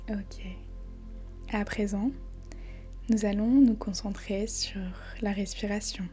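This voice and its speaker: A woman leads a guided meditation very slowly, with long pauses between short phrases. Her voice is soft, breathy and dark-soft, often slipping near a whisper, and stays quite even in pitch. She sounds calm and soothing. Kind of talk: guided body scan meditation. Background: faint background music.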